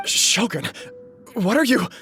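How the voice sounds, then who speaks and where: A man's voice: high-pitched, very animated, slow, exaggerated voice. A man voicing a principled young nobleman in a video game, formal, firm and aggrieved. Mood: startled. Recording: background music over dialogue.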